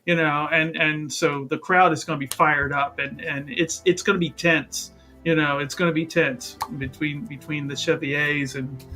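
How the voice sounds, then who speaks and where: A man with a bright, forceful, slightly high voice chats as a player in a tabletop game. He is eager and casual, doubling back on his phrases, with soft music underneath. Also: steady tone, normal speed. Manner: eager, often repeats himself.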